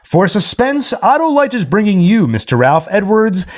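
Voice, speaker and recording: Man as old-time male radio announcer, grand suspense-show delivery, raised pitch. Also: sing-song, moderate pace. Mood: grand, suspenseful.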